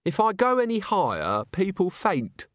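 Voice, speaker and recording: Shy, stubborn young woman, raised high and falsetto-like, dry close read; man voicing her. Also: huge pitch swings, moderate pace, a bit bright, no room sound, exaggerated voice. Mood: hesitant. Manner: hesitant, then snappish.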